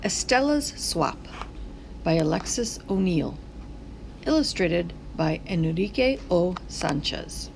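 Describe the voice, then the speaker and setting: Lively woman reading a storybook, slow and crackly. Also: fairly low pitch, creaky. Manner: deliberate storytelling with acted character voices. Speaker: children's book reader. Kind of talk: expressive read-aloud of a picture book.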